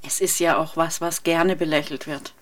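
A woman with a fairly low voice leads a radio interview. She talks conversationally and offers reflective prompts for her guests to pick up. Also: steady tone, normal speed, fairly bright tone. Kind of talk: radio interview, asking questions.

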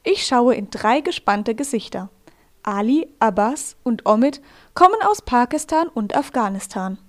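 A woman hosting a radio feature from a studio, telling the story in calm, measured, scripted narration. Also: on the high side. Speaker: radio host.

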